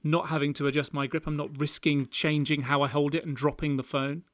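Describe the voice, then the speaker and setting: A British man with a fairly high-pitched voice talks as a co-host on a casual tech podcast. The sound is dry, with no room echo. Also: level tone, a little fast, fairly bright tone.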